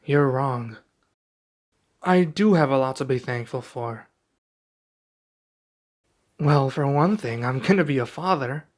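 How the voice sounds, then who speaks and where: A man playing a young king and doting expectant father in an intimate ASMR roleplay, speaking softly and affectionately with some hesitation. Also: medium pitch, slow, long pauses. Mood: awed, grateful. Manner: soft, affectionate, hesitant delivery.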